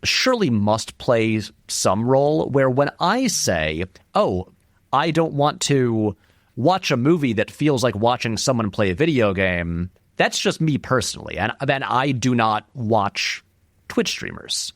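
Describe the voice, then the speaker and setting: A man speaks as an interview guest with a lively, wide-swinging pitch at a middle register. His delivery is informal and discursive, leaning on words for emphasis. The sound is dry, with very little room to it. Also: a little slow, no room sound. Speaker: interview guest, film critic and video essayist. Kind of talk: conversational interview commentary.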